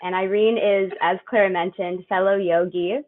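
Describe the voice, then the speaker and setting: A woman at mid pitch co-hosts a podcast and asks measured, structured questions. Her voice comes through thin and tinny, as if over a phone line. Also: steady tone. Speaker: podcast co-host. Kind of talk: interview hosting.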